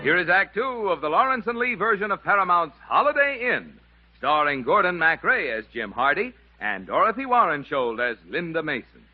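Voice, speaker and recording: A man's voice: fairly high pitch, sing-song, normal speed, muffled recording, soft background music. A man reading a scripted announcement in the style of a 1950s radio announcer, on an old radio recording.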